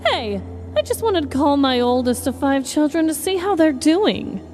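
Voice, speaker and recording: A woman's voice: high-pitched, animated, moderate pace, darkish tone. A woman playing a scheming, morbid, oddball middle-aged shelter worker in a comedic story, theatrical and falsely sweet. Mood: breezy, self-absorbed.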